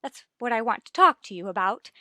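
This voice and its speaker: A woman's voice: a little high, expressive, a little fast, sharp tone, dry recording, studio quality. Genteel middle-aged wife and mother. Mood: gracious, chatty. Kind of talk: 1950s radio sitcom acting.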